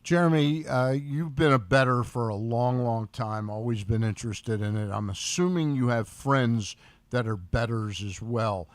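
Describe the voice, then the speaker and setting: A man co-hosting a casual sports talk show on radio, speaking haltingly and repeating words as he goes. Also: medium pitch, no room sound. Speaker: radio co-host.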